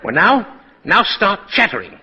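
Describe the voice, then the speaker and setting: A whimsical old Santa figure from 1940s radio, kindly and chuckling. His husky voice swoops widely up and down as he hesitates and asks "eh?". The sound is muffled and dated. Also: sits high, big pitch swings, a little slow, sharp tone, heavily acted. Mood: playful, delighted. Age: older. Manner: chuckling, hesitant, tacks questioning "eh?" onto sentences. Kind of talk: 1940s radio drama acting.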